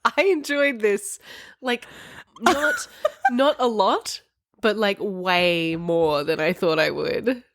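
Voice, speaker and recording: A woman's voice: medium pitch, slow pace, a bit bright, dry recording, studio quality. A woman co-hosting a podcast, talking casually and candidly about books with wry emphasis. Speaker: podcast co-host. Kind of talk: casual book discussion chat.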